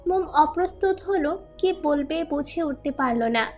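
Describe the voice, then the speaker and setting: Very high-pitched woman narrating over music. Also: lively intonation, measured pace, a little mellow, muffled recording. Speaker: story narrator. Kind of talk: scripted narration of a romantic audio story. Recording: music under the voice.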